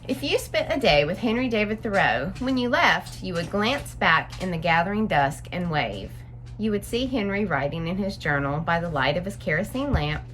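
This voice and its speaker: Expressive woman reading to children, lively pitch. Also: normal speed, soft background music. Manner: expressive, instructive, addressing young listeners directly. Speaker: story time host reading to children. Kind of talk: read-aloud storytelling and simple explanation for children.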